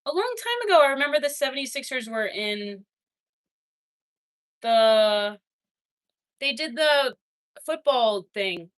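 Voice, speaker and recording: A young adult woman streaming, casually chatting with her viewers and reading their messages, laughing often and swinging between excited and quiet. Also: high-pitched, slow, long pauses. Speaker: livestreamer reading chat. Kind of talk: casual livestream chat.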